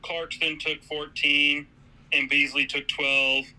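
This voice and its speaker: A man calling in as a fan guest to a live sports stream, talking in a quick, wry way. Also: fairly high pitch, little pitch movement, pushed and bright, poor audio. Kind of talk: casual fan talk.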